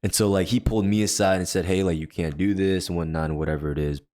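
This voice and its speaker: Deep-voiced young man telling a long story. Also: fairly even intonation, quick pace, darkish tone. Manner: rambling, filler-heavy storytelling. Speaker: podcast co-host. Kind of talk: casual storytelling chat.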